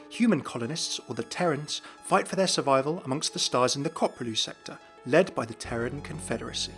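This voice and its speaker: A man's voice: a little high, a bit bright. A man hosting a video, giving scripted narration of video game lore.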